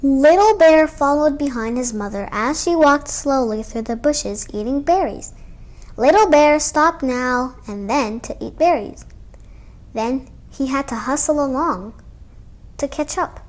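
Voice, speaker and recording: A woman's voice: sits high, expressive, a little slow. A female storytime host reading a picture book aloud to children, adding casual little asides as she goes.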